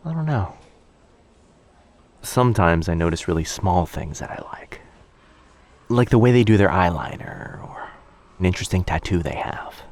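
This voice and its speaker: A man playing a shy, sweetly awkward young stranger in a boyfriend ASMR roleplay, speaking softly and hesitantly in an intimate, rambling way. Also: low-pitched, expressive, normal speed, pauses often. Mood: nervous, musing. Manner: hesitant, rambling, soft-spoken with trailing phrases. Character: very shy young male stranger, sweetly awkward, overthinking coffee-shop crush.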